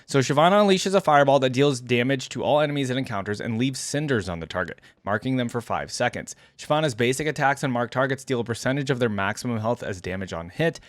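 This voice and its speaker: A man's voice: mid-range voice, lively intonation, fast talker, hardly any pauses, dry recording. Man, casual gaming commentator, dry close sound. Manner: conversational, trailing asides and questions to viewers. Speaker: reaction video host.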